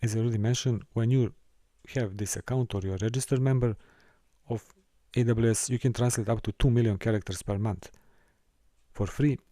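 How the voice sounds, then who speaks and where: Guy doing a screen tutorial, mellow voice, dry close sound, stops and restarts a bit. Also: medium pitch, steady tone, no room sound. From Serbia. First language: Serbian. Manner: careful, halting delivery with small restarts and non-native phrasing. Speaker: course instructor. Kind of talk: screen-recorded software tutorial.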